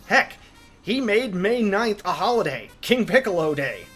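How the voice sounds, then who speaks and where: A man's voice: high-pitched, big pitch swings, a little slow, fairly bright tone, soft background music. A man hosting a video essay, giving opinionated commentary in an emphatic, enthusiastic fan's delivery.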